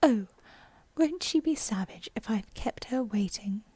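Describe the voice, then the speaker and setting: A woman's voice: on the high side, expressive, unhurried, mellow, husky, no echo. A woman voicing a flustered, anxious male rabbit from a storybook, muttering and exclaiming in haste.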